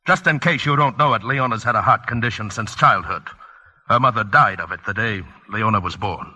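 A man's voice: on the low side, a little fast, sharp tone. An actor playing a domineering older father-in-law in a vintage radio drama, blunt and commanding. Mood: stern. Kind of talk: 1940s-50s radio drama acting.

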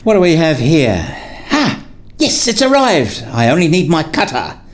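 Man acting weary family man, lively intonation. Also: on the high side, moderate pace, faint music behind. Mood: eager, secretive. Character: weary, put-upon married academic father, wryly self-mocking. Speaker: narrator and lead actor in a radio play. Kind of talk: first-person narration and acted dialogue.

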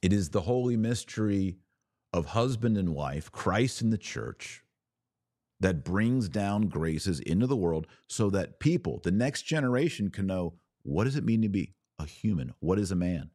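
Slow, low-voiced preaching man. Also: animated, dark tone, no echo, clean studio sound. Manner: earnest, emphatic, deliberate phrasing with pauses. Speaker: religious podcast host. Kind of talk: preachy video commentary.

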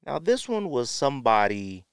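A man's voice: mid-range voice, very animated, normal speed, clear, resonant tone, no echo, clean studio sound. A man hosting a podcast with opinionated commentary, sniffly from a runny nose and repeating phrases for emphasis. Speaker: podcast host. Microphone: podcast microphone, close.

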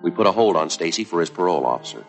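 An American man playing a stoic police detective, narrating a 1950s crime drama in a clipped, deadpan, factual way. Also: deep voice, rapid-fire, poor audio. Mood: flat, businesslike. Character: stoic, businesslike male police detective sergeant narrating cases. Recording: 1950s TV soundtrack with music behind.

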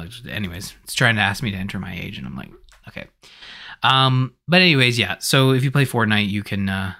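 Bright-voiced man hosting a casual podcast. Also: lowish voice. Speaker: podcast host.

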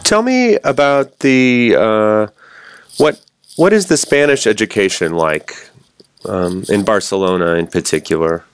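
A man hosting a podcast, reading the intro and a sponsor ad clearly and steadily, then asking his guest questions. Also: fairly low pitch, unhurried. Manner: clear, steady read; spells out web addresses. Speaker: podcast host. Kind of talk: podcast intro, ad read and interview.

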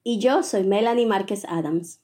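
A woman co-hosting a podcast, explaining the series in a clear scripted intro. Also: mid-range voice, moderate pace, dark tone. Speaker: podcast co-host.

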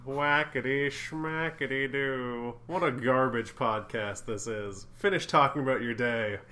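A young man co-hosting a casual comedy podcast while sick, trading deadpan absurd jokes. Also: medium pitch, a little slow. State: sick with a cough. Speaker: podcast co-host.

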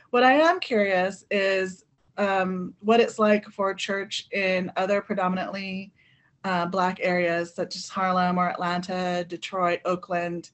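A woman in a panel discussion, speaking thoughtfully and hesitantly, with many pauses and fillers. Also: medium pitch. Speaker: panel guest. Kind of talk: conversational discussion.

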